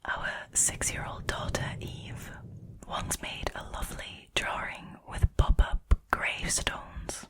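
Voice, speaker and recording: A woman speaking in a breathy whisper, telling short stories and chatting casually about them in a relaxed ASMR style. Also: slow. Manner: informal, chatty, amused. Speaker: host reading and reacting to short stories.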